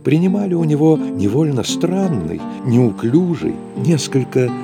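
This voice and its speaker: A man's voice: mid-range voice, sing-song, measured pace, gravelly. A man reading literary narration as the narrator of an audio drama. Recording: music under the voice. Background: plucked guitar music.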